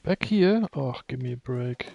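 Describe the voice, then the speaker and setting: A man does relaxed, conversational game commentary in a slightly low voice with plenty of fry. He takes his time between phrases, muttering to himself over faint game music. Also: unhurried, dull audio, a little hiss or hum. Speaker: video game streamer. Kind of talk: casual live gameplay commentary.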